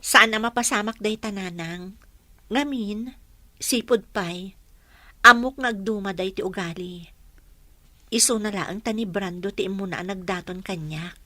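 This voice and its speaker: An actress playing a gentle, affectionate young mother torn in love in a radio drama. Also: medium pitch, unhurried, pauses often, no room sound. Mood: troubled, pleading. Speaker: voice actor in Ilocano radio drama. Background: background music.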